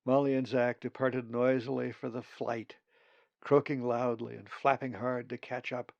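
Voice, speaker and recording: A man's voice: medium pitch, level tone, moderate pace, vocal fry, no room sound. A man reading his own novel aloud as audiobook narration, on a slightly dull recording.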